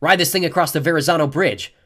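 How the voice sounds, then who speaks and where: A man's voice: fairly high pitch, fast, a bit bright, voice pushed up. A man voicing a tough, proud warrior girl in an audiobook adventure story. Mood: gruff.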